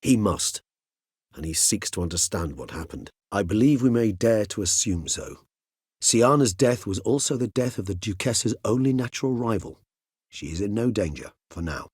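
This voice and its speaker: A middle-aged gentleman vampire from a fantasy video game. His voice is deep and notably husky, recorded very dry and close. He speaks with erudite, old-fashioned courtesy, gentle and philosophical, choosing each phrase with measured care. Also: no room sound. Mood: calm, thoughtful. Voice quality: husky, low. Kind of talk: video game character dialogue.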